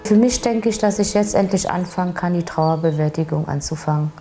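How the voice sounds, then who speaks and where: A woman's voice: fairly low pitch, a little fast, mellow, soft background music. A woman speaking in an interview clip as a victim's relative, earnest and reflective.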